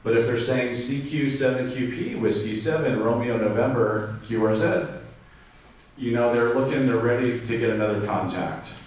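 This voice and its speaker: A man's voice: medium pitch, normal speed. Man presenting in a very echoey room. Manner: explanatory, spells call signs in phonetics, frequent fillers. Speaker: club presenter. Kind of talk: informal club presentation. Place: club meeting room. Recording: very echoey room, distant mic, faint noise.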